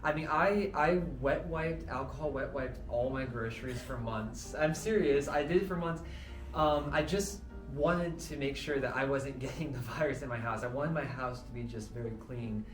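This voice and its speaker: A man's voice: mid-range voice, moderate pace. A man in his thirties chatting casually, earnest and emphatic, poking fun at himself. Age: 30s. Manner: earnest, emphatic, self-mocking. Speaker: assistant language teacher. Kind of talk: casual group chat. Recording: echoey room from far off, music behind.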